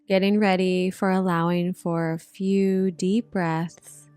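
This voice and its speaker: A woman narrating a guided meditation in a soothing, gentle manner, with drawn-out hypnotic phrasing. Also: medium pitch, clear, resonant tone, no echo. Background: relaxation music.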